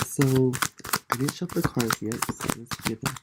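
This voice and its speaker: A soft-spoken tarot reader with a stuffy, congested voice drifts from thought to thought in a relaxed card reading. Loud background noise and the clicks of shuffled cards fill the recording. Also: mid-range voice, unhurried. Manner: soft-spoken, meandering, musing aloud.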